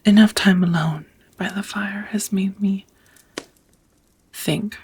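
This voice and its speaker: Casting: actress as ancient female vampire, tender and hesitant, for romantic ASMR roleplay; very husky whisper, unhurried intimate delivery. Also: medium pitch, steady tone, darkish tone. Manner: slow, hesitant, intimate, slightly old-fashioned phrasing.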